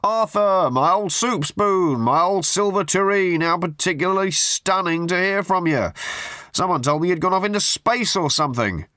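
A man's voice: high voice, lively intonation, moderate pace, bright, forceful tone, dry recording, studio quality, voice pushed up. A man voicing a garrulous male tabloid journalist who babbles nonsense endearments on the phone in an audiobook. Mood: gushing. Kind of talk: audiobook character voice.

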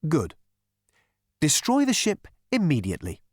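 Guy putting on a grinning slick shrink voice, high and swoopy, dry recording. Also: huge pitch swings, measured pace, breathy edge, dry recording, heavily acted. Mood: smarmy. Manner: high, beaming, sing-song delivery. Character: smarmy cheerful male psychiatrist, grinning and sly. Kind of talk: audiobook character reading.

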